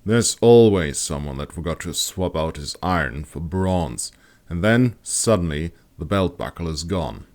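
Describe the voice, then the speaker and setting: Man as a low-voiced wry mercenary captain. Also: animated, a little slow, a little mellow. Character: experienced male mercenary captain, wry and instructive.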